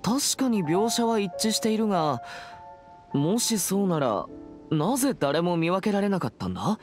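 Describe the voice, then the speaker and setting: A man's voice: high voice, very animated, normal speed. A young man voicing a serious, earnest teenage boy exorcist in a Japanese anime game, with a formal, reserved and polite manner. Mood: puzzled. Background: background music.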